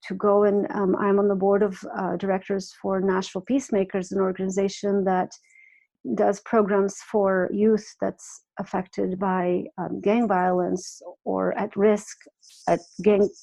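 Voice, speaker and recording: A woman in her forties from Croatia, guesting on a podcast, talking in a warm, chatty way with frequent restarts. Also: medium pitch, vocal fry, poor audio. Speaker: guest, music artist and life coach. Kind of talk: casual podcast interview.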